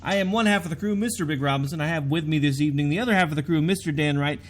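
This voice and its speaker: Fast-talking male radio host. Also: on the high side, slight background noise. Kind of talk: upbeat show opening.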